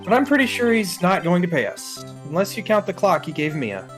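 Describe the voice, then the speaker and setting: A man's voice: high voice, sing-song, a little fast, a bit bright, pitched above the performer's own voice. A man voicing a reflective young rookie lawyer who narrates his story in a video game. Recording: game music behind.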